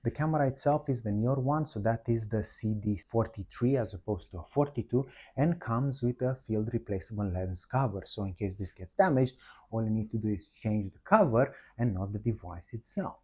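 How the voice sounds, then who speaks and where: A man's voice: on the low side, animated, fairly quick, warm tone, husky. A man narrating a product walkthrough, explaining methodically, one step at a time. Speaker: product presenter, installer.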